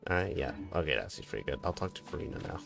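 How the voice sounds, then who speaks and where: A man streaming a video game, casually reacting and joking with loud outbursts and swearing. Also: very deep voice, big pitch swings, racing, creaky, over music. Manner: playful and swearing, reacting loudly. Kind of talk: casual gameplay commentary.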